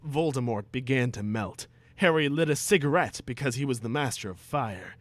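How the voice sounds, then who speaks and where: A man's voice: mid-range voice, expressive, normal speed, no echo. A man narrating a comic story as a dramatic reading.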